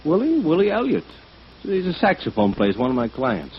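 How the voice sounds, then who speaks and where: A man's voice: a little high, huge pitch swings, moderate pace, breathy edge, heavily acted. An actor playing an anxious, hesitant male musicians' agent under suspicion, pleading in 1950s radio drama style. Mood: hesitant, subdued. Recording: muffled old radio broadcast recording.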